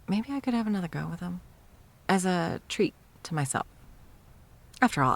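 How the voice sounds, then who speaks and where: A woman's voice: low voice, a bit bright, heavily acted. A woman acting an arrogant, centuries-old immortal sorceress tyrant in an ASMR roleplay, teasing and theatrical, at times slipping into whispers. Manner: mocking, purring, theatrical, slipping into breathy whispers.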